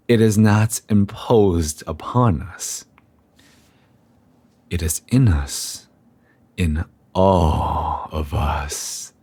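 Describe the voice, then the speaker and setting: Man playing a slow, deep, ominous scholar. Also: a little mellow, dry recording, full character voice. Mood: ominous, zealous. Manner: formal, deliberate, theatrical. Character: grave, ominous male university scholar guarding occult secrets. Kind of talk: horror audio drama acting.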